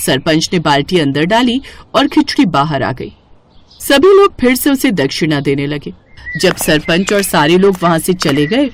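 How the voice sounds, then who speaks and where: A woman's voice: lowish voice, slight background noise. A woman narrating a scripted moral story for children.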